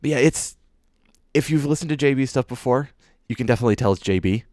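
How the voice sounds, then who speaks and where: A man's voice: mid-range voice, fast, a little mellow, no echo, clean studio sound. A man co-hosting a podcast, presenting his picks in easygoing, approving casual chat and often repeating himself. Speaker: podcast co-host presenting fan music.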